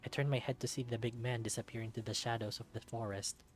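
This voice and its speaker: A man's voice: mid-range voice, fairly even intonation, fast, faint music behind. A man reading a visual novel aloud in dramatic first-person narration.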